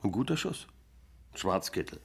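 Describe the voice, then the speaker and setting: Gravelly, slow village hunter. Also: male voice, sits low, big pitch swings, full character voice. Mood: hesitant, gripping. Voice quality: gravelly, husky. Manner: halting, laconic, clipped phrases. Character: village hunting man telling of a hunt. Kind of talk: radio drama storytelling.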